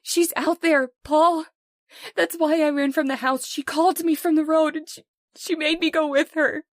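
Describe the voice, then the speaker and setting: An actress playing a frightened, pleading wife in an old-time radio horror play, anxious and breathless. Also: female voice, high-pitched, fairly even intonation, normal speed, dry recording, studio quality, natural voice. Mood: terrified, pleading.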